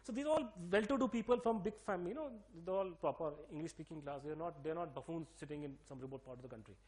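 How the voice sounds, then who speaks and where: Middle-aged Delhi-accented man, quick-fire emphatic explainer, higher-pitched voice, lots of restarts. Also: a little mellow. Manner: rapid, emphatic, many fillers and restarts. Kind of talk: interview-style explanation.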